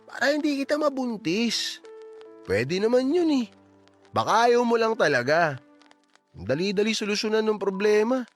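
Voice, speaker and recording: High-pitched sweet-talking young boyfriend. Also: male voice, fairly bright tone. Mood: coaxing. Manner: wheedling, coaxing, teasing. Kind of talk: Tagalog romance audio drama acting.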